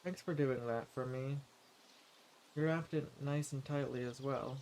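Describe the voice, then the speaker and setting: A man's voice: medium pitch, steady tone, measured pace, a little hiss or hum, lightly acted. Man acting a polite young-hearted forager adventurer, birdsong behind. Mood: friendly, hesitant. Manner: polite, earnest, gently hesitant. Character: friendly male adventurer and keen forager, polite, eager. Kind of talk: fantasy roleplay audio drama, addressed to the listener. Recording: clear outdoor nature ambience with birdsong. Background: forest ambience, birds.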